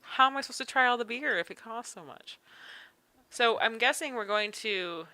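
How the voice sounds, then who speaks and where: A woman from Canada chats casually as a podcast co-host, her voice very bright and crisp. She tells stories with lively restarts, recorded dry and close. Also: fairly high pitch, normal speed, no room sound, poor audio. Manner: chatty, animated storytelling with restarts. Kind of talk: casual chat.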